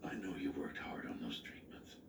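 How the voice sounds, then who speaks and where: A man's voice: a bass voice, expressive, normal speed, vocal fry, in a whisper, full character voice. A man voicing a kindly, protective old grandfatherly knight in a video game drama. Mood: weak, tender. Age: older. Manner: reassuring, gently admonishing. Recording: roomy, distant, noisy game capture.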